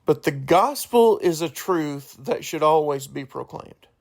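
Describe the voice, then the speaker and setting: A man hosting a solo podcast in an informal, earnest way, halting and correcting himself as he goes. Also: fairly high pitch, animated, vocal fry. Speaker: podcast host.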